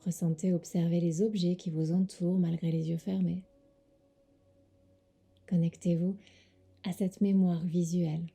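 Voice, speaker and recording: Very mellow, breathy woman guiding meditation. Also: fairly low pitch, level tone. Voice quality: soft, breathy. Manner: soothing, gentle instructions with pauses. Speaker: yoga nidra teacher. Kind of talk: guided sleep meditation.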